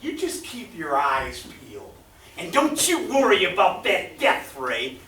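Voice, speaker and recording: Man acting bright, brash, cocky armed hunter. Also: high voice, huge pitch swings, measured pace, a little hiss or hum, heavily acted. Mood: cocky, reassuring. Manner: brash, folksy bravado. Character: cocky, reassuring armed man hunting the invaders. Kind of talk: radio drama acting. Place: theatre stage, live performance. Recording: echoey distant stage recording.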